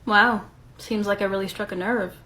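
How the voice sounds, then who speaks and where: A woman's voice: medium pitch, steady tone, moderate pace, heavy background noise. A woman whose first language is Spanish, making a point-by-point rebuttal video and arguing with indignation. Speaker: response video creator. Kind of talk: rebuttal commentary to camera.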